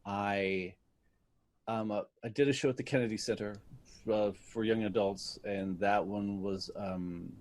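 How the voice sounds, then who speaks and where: A middle-aged man talking casually as an actor being interviewed, telling stories and often pausing to search for words. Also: on the low side, fairly even intonation, darkish tone, dry recording.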